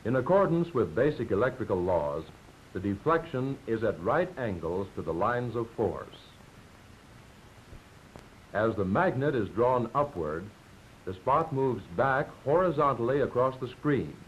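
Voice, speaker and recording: An American man delivers scripted 1940s training film narration with lively, varied intonation. He explains things in a measured, didactic manner. The old film soundtrack sounds dull and lo-fi, with a faint layer of noise. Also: medium pitch.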